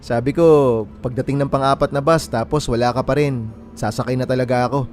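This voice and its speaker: A man's voice: mid-range voice, steady tone, fairly quick, a little mellow, faint music behind, lightly acted. A man with a Filipino accent playing a friendly, candid young bachelor in casual radio drama dialogue. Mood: friendly, eager. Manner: casual, conversational.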